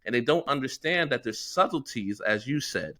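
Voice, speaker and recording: A man's voice: mid-range voice, animated, moderate pace, a bit bright. A middle-aged American man co-hosting a podcast, talking earnestly and reflectively with plenty of fillers. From United States. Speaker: podcast co-host. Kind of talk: casual podcast discussion.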